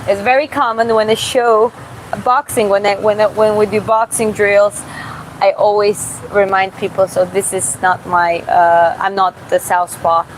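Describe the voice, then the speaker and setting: A woman from Georgia who streams and boxes, reading viewer comments aloud in a live Q&A and answering casually, often pausing and restarting to find her words. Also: medium pitch, poor audio. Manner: casual, halting, searches for words with many restarts. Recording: steady background hum.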